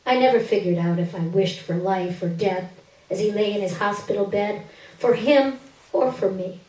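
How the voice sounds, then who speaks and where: A woman's voice: mid-range voice, moderate pace, warm tone. Woman reading literary fiction, distant and roomy. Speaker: reader of a short story on stage. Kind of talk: dramatic literary reading, first-person narration. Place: indoor stage, live reading. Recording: roomy stage recording, distant microphone.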